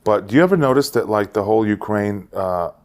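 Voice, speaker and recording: A man's voice: lowish voice, animated, brisk, darkish tone. A man in his forties co-hosting a casual podcast, rambling and joking, often trailing off mid-sentence. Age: 40s. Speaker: podcast co-host. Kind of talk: casual chat.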